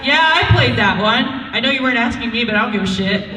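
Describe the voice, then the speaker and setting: A woman's voice: on the high side, animated, fast. A woman co-hosting a panel with casual comedic banter, blunt, crude and teasing. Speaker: convention panel co-host. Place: large convention panel room. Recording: distant mic, echoey hall with crowd.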